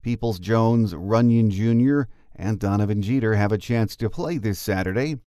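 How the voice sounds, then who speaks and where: A man's voice: fairly low pitch, moderate pace, warm tone, dry recording, studio quality. A middle-aged or older man hosting a sports podcast, moving between talk and scripted bulletins. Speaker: podcast host.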